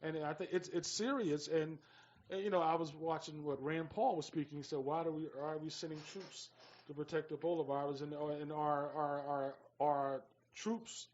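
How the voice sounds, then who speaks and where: A man on a talk radio panel discussing things casually and haltingly, often repeating his phrases. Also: on the high side, steady tone, a little mellow, dull audio. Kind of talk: casual current-affairs discussion.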